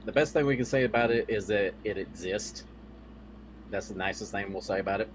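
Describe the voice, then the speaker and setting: A man's voice: on the low side, a little fast, a little hiss or hum. A man on a podcast panel, chatting casually about movies.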